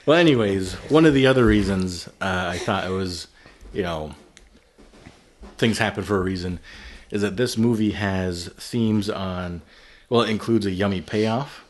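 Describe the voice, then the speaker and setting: A man hosting a movie podcast, giving his views in a relaxed, thinking-aloud way. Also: fairly low pitch, measured pace, a little hiss or hum. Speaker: podcast host. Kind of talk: casual film discussion.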